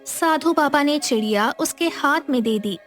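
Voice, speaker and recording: A woman's voice: high-pitched, measured pace, fairly bright tone, soft background music. A woman narrating a scripted moral tale as a storyteller.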